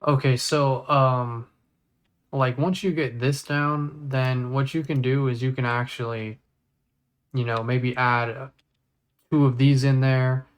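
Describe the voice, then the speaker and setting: Casual mid-pitched man walking through software. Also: steady tone, takes it slowly, clean tone. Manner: thinking aloud step by step. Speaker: tutorial host. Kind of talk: casual screen-recorded software tutorial.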